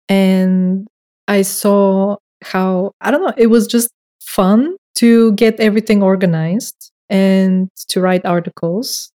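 A young woman speaks English with a Bulgarian accent, her voice mellow and warm. She talks thoughtfully, hesitating and laughing lightly as she explains her work on a podcast. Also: mid-range voice. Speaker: director of records and research. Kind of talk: casual podcast interview.

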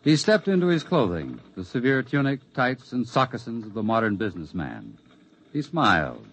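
A man giving solemn, deliberate dramatic narration in the style of 1950s radio drama. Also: mid-range voice, big pitch swings, a bit bright, slightly rough, low-quality recording. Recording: vintage radio, band-limited, music behind.

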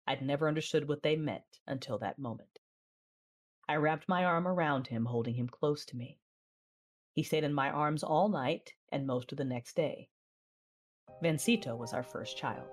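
Sci-fi audio drama lead: actress as reflective, war-weary Jedi woman, low register, measured confessional narration over music. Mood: tender, hushed. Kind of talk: scripted first-person audio drama narration. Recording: music under the voice.

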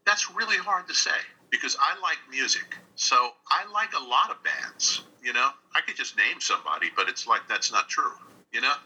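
A man's voice: mid-range voice, lively intonation, moderate pace, bright, forceful tone, husky, low-quality recording. A man from New York State answering interview questions casually and animatedly, often repeating his phrases. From New York State, United States. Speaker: interview guest, radio drama writer and director.

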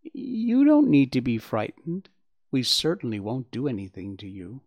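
A man acts out a young male fawn from a storybook, curious and eager. The voice is lifted high and swings widely. He is polite and earnest, full of wide-eyed excitement. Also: unhurried, vocal fry, slightly rough, dry recording, lo-fi sound, full character voice.

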